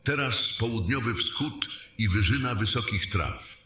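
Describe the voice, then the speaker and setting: A low, bright-edged male voice acted by a man of a fantasy king, measured and commanding. The speech is formal and decisive, set in a roomy echo. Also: lively intonation. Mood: grave. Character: measured, decisive fantasy king chairing his war council. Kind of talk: fantasy audio drama acting. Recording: roomy echo with faint noise.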